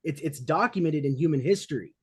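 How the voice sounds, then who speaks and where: A man debating, making insistent, measured rebuttals. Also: fairly high pitch, slightly rough. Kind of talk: heated debate.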